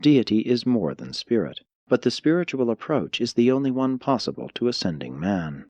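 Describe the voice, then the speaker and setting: A man narrating an audiobook, reading formal scripted philosophical prose in a measured, declarative manner. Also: mid-range voice, very animated, a little mellow, dry recording.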